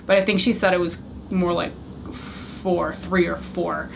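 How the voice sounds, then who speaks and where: A woman's voice: on the low side, steady tone, measured pace, gravelly, slight background noise, rough recording. A young woman whose first language was French, chatting candidly to the camera as she answers a tag of questions. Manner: chatty, candid, self-deprecating. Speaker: beauty YouTuber. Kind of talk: casual Q&A tag video.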